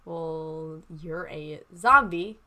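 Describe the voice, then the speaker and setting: A woman's voice: low voice, extremely slow, pushed and bright, full character voice. A woman playing a patient but exasperated medic in an improvised Minecraft zombie roleplay. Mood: exasperated, insistent. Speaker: roleplay player.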